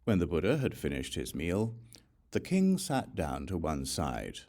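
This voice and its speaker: A man reading Buddhist scripture as a scripted audiobook narration, in a measured, solemn way with deliberate phrasing. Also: low voice, wildly swooping pitch, a little mellow.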